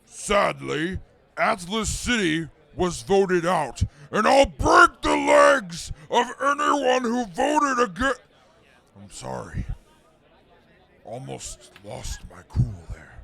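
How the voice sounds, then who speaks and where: A man's voice: high voice, big pitch swings, slow, vocal fry, bright, forceful tone, full character voice. A man playing a boastful, hot-tempered super mutant reporter who talks stiffly and formally in a radio drama. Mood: furious. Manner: stiff formal speech breaking into threatening outbursts. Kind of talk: post-apocalyptic radio comedy drama acting.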